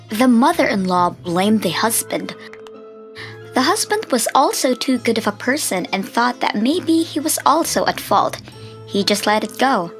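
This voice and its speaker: A woman's voice: mid-range voice, a bit bright. Woman narrating a story over music.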